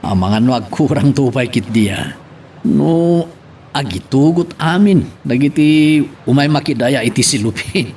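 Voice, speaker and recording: A male actor plays an easygoing, practical village man in an audio drama. His voice sits at a middle pitch. A little noise runs under the recording. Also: animated, measured pace, a little mellow. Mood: matter-of-fact. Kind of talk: Ilocano audio drama acting. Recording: faint background noise.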